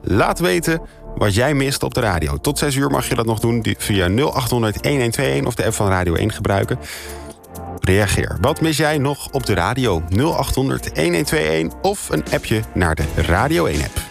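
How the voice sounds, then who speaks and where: A man's voice: mid-range voice, very animated, brisk, gravelly, over music. A man with a Dutch accent from the Netherlands, presenting radio conversationally and addressing listeners directly in an informal way. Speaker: radio host.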